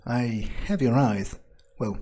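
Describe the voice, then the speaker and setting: A player narrating a video game in character, thinking aloud in a sardonic way with pauses mid-sentence. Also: medium pitch, dark tone, slightly rough. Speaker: game player role-playing his character.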